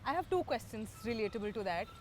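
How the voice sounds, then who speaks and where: A woman hosting an interview show, asking questions in polished, measured, formal phrasing. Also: on the high side, lively intonation, slight background noise.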